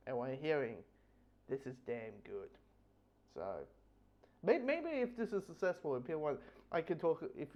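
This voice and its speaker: A man giving a casual, unscripted review in a rambling, halting way, often repeating words and restarting, with dull lo-fi sound. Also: fairly high pitch. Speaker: book review host.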